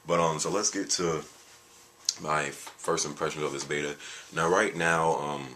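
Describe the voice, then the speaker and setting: A tired man with a very deep voice, chatting casually in a video and rambling with jokes, fillers and restarts. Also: steady tone, normal speed, pushed and bright, a little hiss or hum. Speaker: gaming video creator. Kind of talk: casual vlog chat.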